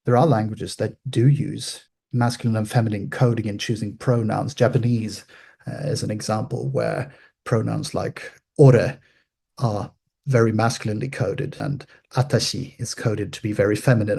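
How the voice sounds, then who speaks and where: A man whose first language is Swedish, explaining ancient grammar in an informal scholarly way, pausing to restate and qualify his points. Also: lowish voice, creaky, dark tone, breathy edge.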